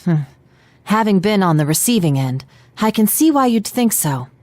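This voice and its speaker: A woman's voice: medium pitch, sing-song, moderate pace, darkish tone, no echo, natural voice. A woman playing a composed, analytical teenage detective in a video game, speaking politely with precise, formal diction. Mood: thoughtful, serious.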